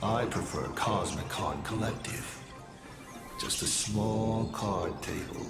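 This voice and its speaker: A man's voice: on the low side, slow. A man voicing a chaotic trickster villain in a video game, theatrical and mocking in manner. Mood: grandiose menace. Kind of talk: scripted video game acting. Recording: echoing, loud music underneath.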